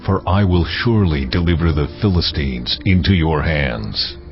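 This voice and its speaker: The very deep, solemn voice of God. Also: male voice, expressive. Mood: solemn command. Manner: slow, weighty, authoritative. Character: God, solemn and commanding divine voice. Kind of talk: dramatized audio Bible acting. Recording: dull, lo-fi audio. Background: faint music.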